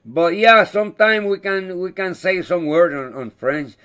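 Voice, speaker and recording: Guy on a livestream, english not his first language, kinda high smooth voice, stops and hunts for words a lot. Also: fairly bright tone. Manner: halting, searching for words; friendly, thanks viewers by name. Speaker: livestream host. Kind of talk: casual live-chat monologue.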